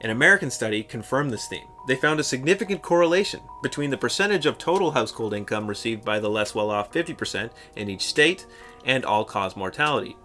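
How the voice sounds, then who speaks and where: Bright-voiced man, wry scripted narration. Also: medium pitch, animated, quick pace, soft background music. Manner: sarcastic, wry. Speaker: video essay narrator.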